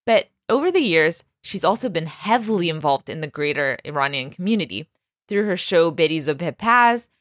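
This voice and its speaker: A young woman hosting an interview podcast, admiring and quick to laugh. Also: low-pitched, expressive. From United States. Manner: warm, admiring, laughs often. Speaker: podcast host and Persian teacher.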